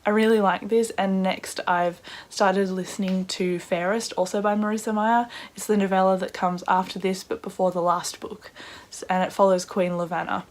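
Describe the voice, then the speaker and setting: A woman with a croaky voice, chatting casually in a book vlog with excitable asides. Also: mid-range voice, moderate pace. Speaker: book vlogger.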